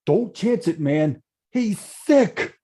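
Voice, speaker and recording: Loyal hot-headed young man, agitated, read by an actor, lively pitch. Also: extremely slow. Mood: angry. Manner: agitated, shouting threats.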